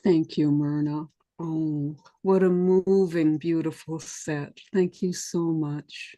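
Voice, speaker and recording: A woman with a low, mellow voice speaks slowly as she hosts a reading over a video call. She sounds appreciative and a little hesitant between phrases. Her sound is dry and close, with no room echo. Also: steady tone. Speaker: reading host. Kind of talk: hosting an online reading.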